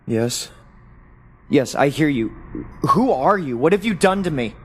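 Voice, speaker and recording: An actor in an audio play as a bewildered, sarcastic secret agent who talks in an agitated, demanding way. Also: male voice, fairly high pitch, expressive, unhurried, slight background noise. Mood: angry, demanding. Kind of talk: audio drama acting.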